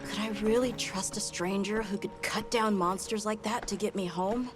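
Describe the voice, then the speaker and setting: An earnest young boy, voiced by a woman, speaks with humble resolve. It is video game cutscene acting, with music clearly playing behind the voice. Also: medium pitch, normal speed, close to an everyday voice. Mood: wistful, earnest.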